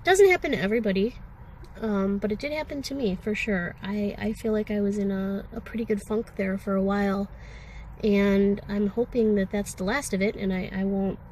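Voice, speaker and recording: A woman's voice: medium pitch, normal speed, a little mellow, slight background noise, low-quality recording. A woman with a sore throat and a congested voice, talking candidly and ramblingly in a personal vlog, with many fillers. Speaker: vlogger. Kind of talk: casual personal vlog talk.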